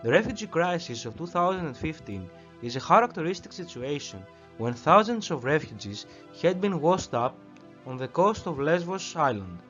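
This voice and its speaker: A man's voice: fairly high pitch, very animated, moderate pace, a bit bright. A man reads a formal prepared statement, his voice rising and falling a lot. The sound is muffled and lo-fi, with faint music behind him. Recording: dull lo-fi audio with faint music.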